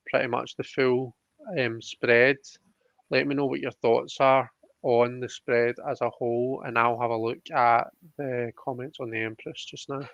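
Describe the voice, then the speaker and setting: A man's voice: mid-range voice, creaky, a bit bright, no echo. A man hosting a live tarot reading in a casual, conversational style. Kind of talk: casual livestream talk. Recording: dull, muffled lo-fi livestream audio.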